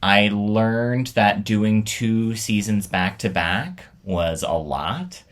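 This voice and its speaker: A man's voice: lowish voice, expressive, slow pace. A man talking casually and reflectively, as the host looking back on his own storytelling show. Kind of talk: casual reflective vlog talk.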